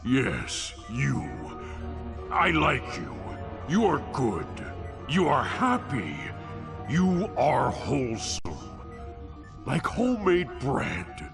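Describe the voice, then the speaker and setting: Giant sentient goo monster, childlike, woeful, drawn-out pacing, expressive pitch, music behind. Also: male voice, creaky, exaggerated voice. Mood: earnest, fond. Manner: slow, earnest, dramatic pauses. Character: giant sentient booger villain, childlike, woeful, turning good. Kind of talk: cartoon game voice acting.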